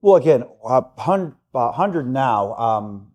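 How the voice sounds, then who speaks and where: A man from Honduras in his forties, a business-owner guest who talks in a halting way with many fillers. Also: mid-range voice, very animated, a little mellow. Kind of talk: casual interview chat.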